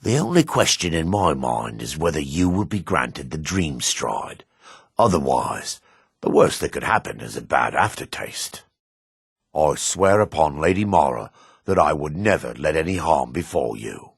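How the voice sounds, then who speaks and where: An actor playing a devout, remorseful dark elf priest in a fantasy video game, speaking formally and gravely with a raspy voice. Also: male voice, extremely low pitch, big pitch swings, no room sound, studio quality, heavily acted. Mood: earnest, reassuring. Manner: formal, measured, grave delivery.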